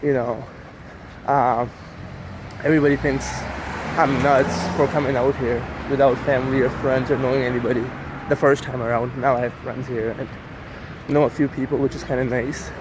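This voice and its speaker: A man's voice: medium pitch, flat delivery, moderate pace. A young man whose first language is Urdu, talking casually in a rambling way with lots of fillers while walking and filming himself on a city street. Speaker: vlogger. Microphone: handheld camera while walking. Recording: street traffic noise behind.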